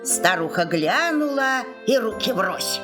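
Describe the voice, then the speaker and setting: A woman storyteller giving an expressive scripted fairy-tale narration. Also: fairly high pitch, slow pace. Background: music.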